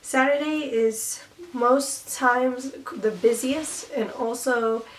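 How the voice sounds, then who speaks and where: A young woman, hoarse from losing her voice, chatting casually to her vlog viewers with lots of fillers and little laughs. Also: sits high, slow, recorded from a distance, slight background noise, poor audio. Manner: chatty, giggly, rambling with fillers. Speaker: vlogger. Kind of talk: casual chat.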